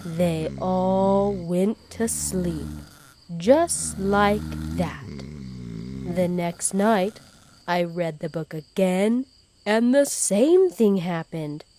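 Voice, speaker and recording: A woman reading a children's picture book aloud, with expressive, unhurried delivery. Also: on the low side, slight background noise. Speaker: storybook reader.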